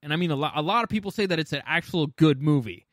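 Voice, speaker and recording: A man's voice: on the high side, quick pace, dry recording, studio quality. A man co-hosting a podcast in casual, informal chat, with some swearing. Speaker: podcast co-host. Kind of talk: casual podcast chat ranking video game movies.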